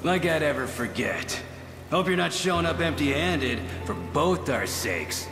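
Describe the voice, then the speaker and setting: An actor playing a proud, gruff but big-hearted bandit boss in a video game, brash, slangy and familiar. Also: male voice, fairly high pitch, sing-song, a little slow. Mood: gruff, wry. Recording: roomy echo, music behind.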